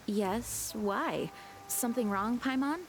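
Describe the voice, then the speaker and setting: A woman playing a calm, earnest knight who explains things patiently in a video game. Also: on the high side, a little hiss or hum. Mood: questioning. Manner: measured, explanatory. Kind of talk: scripted video game dialogue. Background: music.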